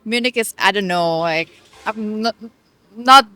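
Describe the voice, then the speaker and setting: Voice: medium pitch, a little slow, bright, forceful tone, clear, resonant tone, dry recording. A person answering a street interview casually, hedging with fillers.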